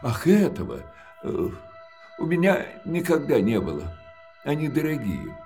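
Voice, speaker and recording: An actor playing an elderly, widowed male junk-shop owner in a radio drama. He talks slowly and hesitantly, and his sentences trail off softly between pauses. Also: a little high, wildly swooping pitch, gravelly, soft background music, exaggerated voice. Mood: hospitable. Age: older.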